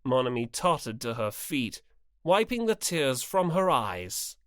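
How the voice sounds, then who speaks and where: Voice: medium pitch, very animated, unhurried, fairly bright tone, no room sound, studio quality. An audiobook narrator reading scripted story narration in the third person.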